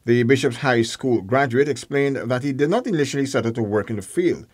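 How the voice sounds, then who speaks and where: A man narrates a news feature from a script. His pitch is average for a man, and the voice-over is recorded very dry. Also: fairly quick, darkish tone, slightly rough, no room sound.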